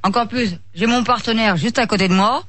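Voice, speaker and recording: A man guesting on a radio show, playful and halting. His voice is high and bright. The sound is dry. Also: dry recording.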